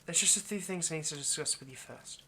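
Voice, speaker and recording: A man playing an anxious, lovesick centuries-old vampire kidnapper in an intimate roleplay monologue, speaking in a halting, coaxing, rambling way straight to the listener. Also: a little high, pushed and bright, slight background noise. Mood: soothing, apologetic.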